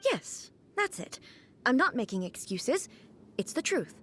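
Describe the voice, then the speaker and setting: A woman voicing an aloof goddess ruler in a game cutscene, speaking in a calm, measured, formal way. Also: high voice, slight background noise, heavily acted. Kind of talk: video game cutscene voice acting. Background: faint game music.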